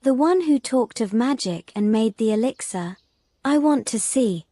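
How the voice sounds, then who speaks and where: A woman's voice: on the high side, lively intonation, unhurried, warm tone, no echo. A woman playing a naive, curious mermaid in a fantasy audio drama, wondering and questioning in simple words. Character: curious, naive mermaid new to the human world.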